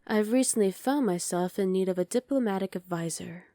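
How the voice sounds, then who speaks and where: A woman's voice: mid-range voice, a little fast, mellow, dry recording, clean studio sound, natural voice. A woman playing a dominant evil sorceress queen in an ASMR roleplay, commanding and condescending with cool menace. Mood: coolly imperious. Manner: commanding, condescending, coolly threatening.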